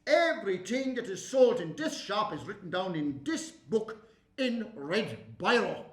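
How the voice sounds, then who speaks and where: A man's voice: very animated, a little slow, full character voice. A man with an Irish accent voicing a jovial, gushing middle-aged male hardware shopkeeper. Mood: bossy. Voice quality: loud, gushing, high-pitched. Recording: echoey room.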